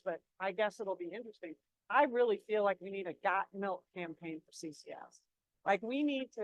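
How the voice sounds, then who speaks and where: A woman presenter answering questions in a loose, conversational way, restarting her sentences with repeated words. Her voice sits at an ordinary middle pitch, and the sound is dry and close with no room echo.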